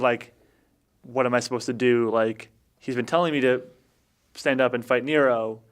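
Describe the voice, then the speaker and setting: A man with a medium-pitched voice talks as a player in a tabletop game. His style is casual, conversational storytelling about his character. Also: clean tone.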